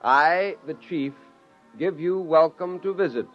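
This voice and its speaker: A man's voice: fairly high pitch, measured pace, faint music behind, lightly acted. An actor playing a wry father and scientist narrating his tale in a 1950s radio drama, on a muffled vintage recording. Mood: solemn reading.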